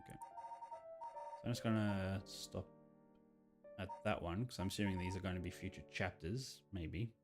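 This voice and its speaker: A man's voice: deep voice, unhurried, long pauses. A man casually commentating on a game as he plays, thinking aloud and reading on-screen text. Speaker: game streamer. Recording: game music behind the voice.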